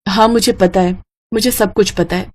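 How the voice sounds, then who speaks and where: Woman voicing a sharp-tongued practical elder sister. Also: medium pitch, steady tone, normal speed, close to an everyday voice. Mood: annoyed. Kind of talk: animated children's story dialogue.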